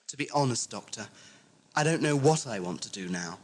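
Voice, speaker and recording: A man's voice: mid-range voice, expressive, unhurried. A man acting a glum, sardonic young companion in an audio drama, sighing and sarcastic. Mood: glum, troubled. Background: faint music.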